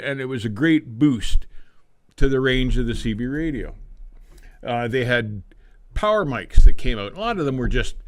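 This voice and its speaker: An older man talking casually on a live stream like a veteran trucker reminiscing, in a folksy, unhurried storytelling way. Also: mid-range voice, no echo. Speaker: livestream host, veteran truck driver.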